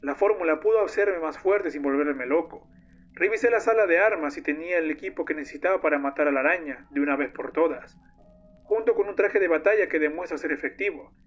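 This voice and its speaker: A man's voice: a little high, fairly quick, close to an everyday voice. A man voicing a grieving, vengeful young man who tells a horror story like a solemn diary. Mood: determined, grim. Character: young man recounting his friend's monstrous downfall, grieving, vengeful. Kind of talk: scripted first-person horror narration. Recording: muffled lo-fi audio under loud music. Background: music.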